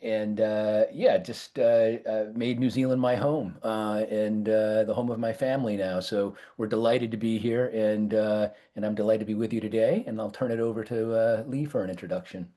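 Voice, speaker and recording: An American man speaking as a professor on a webinar panel, halting often with fillers and restarts over a video call. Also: fairly low pitch, steady tone, dark tone. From United States.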